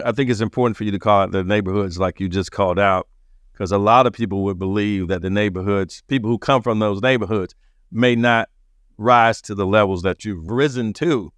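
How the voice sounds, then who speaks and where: A man's voice: fairly low pitch, fairly quick, clear, resonant tone, no room sound, studio quality. A man hosting a podcast interview, talking conversationally with his guest. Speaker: podcast host.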